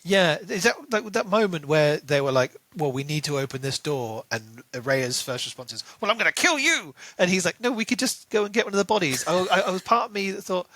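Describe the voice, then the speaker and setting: A man's voice: high voice, big pitch swings, brisk, hardly any pauses, bright, forceful tone, dry recording. A man co-hosting a podcast, casually discussing a book and analysing it as he thinks aloud. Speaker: podcast co-host.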